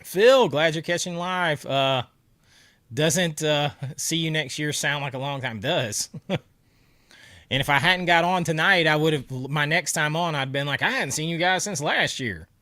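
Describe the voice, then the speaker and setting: A man's voice: on the high side, measured pace, bright, forceful tone, no echo. A man hosting a casual livestream, congested and talking around a cough drop, chatting and laughing as he answers viewer comments. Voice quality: nasal from congestion. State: stuffy nose, sore throat. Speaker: livestream host. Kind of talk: casual live chat with viewers.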